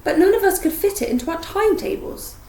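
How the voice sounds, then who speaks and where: A woman's voice: very high voice, lively intonation, quick pace, mellow, far from the mic, voice pushed up. A woman voicing a timid, anxious teenage schoolgirl in a storybook reading, with a nervous, proper manner. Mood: timid, pleading. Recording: roomy echo, faint background noise.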